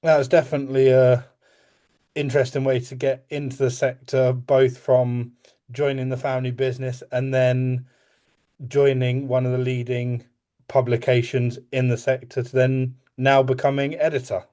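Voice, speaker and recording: A man's voice: medium pitch, flat delivery, normal speed, warm tone. A man hosting an interview podcast, asking questions and agreeing with his guest in a plain, easygoing way. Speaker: podcast host.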